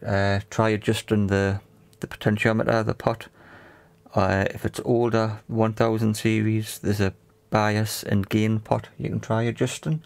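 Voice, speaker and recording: A man casually explaining a hands-on tech repair in a matter-of-fact, halting way. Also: lowish voice, vocal fry, a little mellow. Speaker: repair video presenter.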